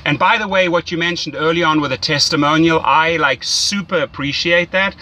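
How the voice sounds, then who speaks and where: A man's voice: fairly high pitch, fairly quick, bright, forceful tone, low-quality recording. A man giving casual, enthusiastic, salesy coaching as a rideshare mentor, often checking in with "right?". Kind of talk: casual coaching phone call.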